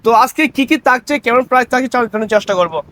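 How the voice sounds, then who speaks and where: Man, Bangladeshi accent, very high pitch, quick pace, promotional vlog host, echoes his guest. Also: animated, pushed and bright. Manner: interjects and echoes the seller's words. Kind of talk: promotional shop vlog presenting.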